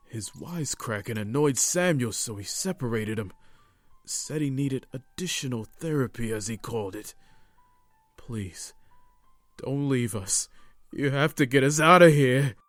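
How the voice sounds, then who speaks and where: A man playing a weary cult prisoner in an audio drama, halting and pleading. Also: medium pitch, animated, no room sound, faint music behind, close to an everyday voice.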